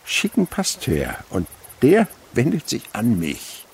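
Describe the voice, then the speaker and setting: An actor playing an excitable middle-aged scientist in a radio drama, proud and fatherly, muttering and repeating himself. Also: medium pitch, takes it slowly, vocal fry, husky, heavily acted. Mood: astonished, agitated.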